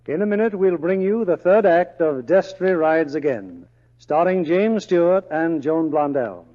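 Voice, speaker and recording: A man's voice: fairly high pitch, expressive, unhurried, dark tone. A man hosting a 1940s radio program with scripted introductions. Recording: dull old radio broadcast, long reverb.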